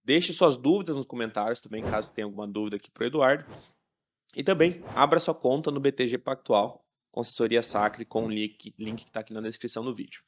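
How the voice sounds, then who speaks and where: A man's voice: mid-range voice, brisk. A Brazilian man hosting a podcast, speaking in a casual interviewing style. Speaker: podcast host.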